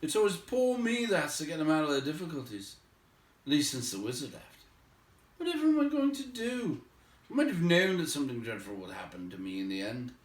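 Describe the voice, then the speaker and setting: Man acting out a small storybook hobbit, raised pitch, plaintive, anxious, grumbling, self-pitying, roomy distant recording. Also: very animated, brisk. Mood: anxious, dismayed. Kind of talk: storybook character voice. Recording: roomy echo, distant microphone.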